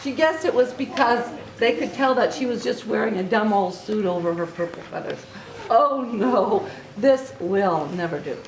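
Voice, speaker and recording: Echoey noisy room, high-pitched woman, children's storytelling, expressive read-aloud, asides to listeners. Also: normal speed. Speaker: children's author reading own book aloud. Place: book signing event in an echoey room. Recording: echoey room recording with clear noise.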